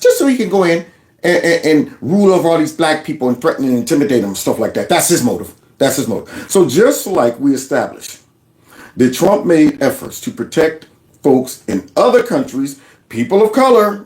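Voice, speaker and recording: A man hosting reaction commentary in an animated way, often repeating words. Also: on the high side, reverberant. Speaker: political commentary host.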